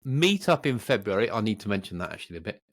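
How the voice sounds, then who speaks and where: Low-pitched man with animated delivery. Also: quick pace. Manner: rambling, playful, excitable, exclaiming. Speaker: podcast co-host. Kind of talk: casual unscripted podcast chat.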